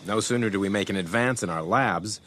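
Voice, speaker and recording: Guy acting a genial american spook, lowish voice, smooth talker, radio play with clear background noise. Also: brisk, a bit bright, natural voice. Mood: confiding. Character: chummy, gallant, worldly American intelligence officer. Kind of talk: British radio drama acting. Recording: noisy radio drama background.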